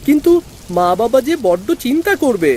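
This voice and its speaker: A woman's voice: on the high side, sing-song, moderate pace, darkish tone, a little hiss or hum. A woman voicing a polite, earnest young boy, respectful and full of wonder, in a children's cartoon. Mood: concerned.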